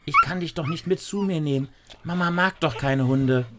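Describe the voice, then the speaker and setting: A man voicing an eager young girl in a children's story, pleading and excited. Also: normal speed, heavy background noise, lightly acted. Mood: worried. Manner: high, pleading, breathless with eagerness.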